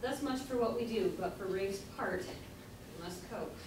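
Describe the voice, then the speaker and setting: A woman's voice: medium pitch, unhurried, natural voice. A woman playing a courteous, somewhat exasperated young actor in an amateur staged reading of an old comedy, speaking politely and formally. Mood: formal, declaiming. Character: exasperated, courteous young player giving prologue. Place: indoor room at a college, far from mic. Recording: distant echoey room, faint noise.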